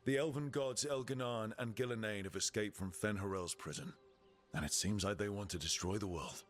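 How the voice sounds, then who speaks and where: A man playing a steady, blunt team leader in a fantasy video game, speaking in a dry, direct way. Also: lowish voice, a little fast, close to an everyday voice. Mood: matter-of-fact.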